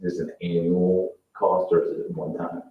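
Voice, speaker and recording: A man's voice: low-pitched, flat delivery, moderate pace. A man chairing a public meeting as council president, calling votes and putting questions in procedural language. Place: indoor council meeting room. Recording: echoey, distant, dull meeting-room audio.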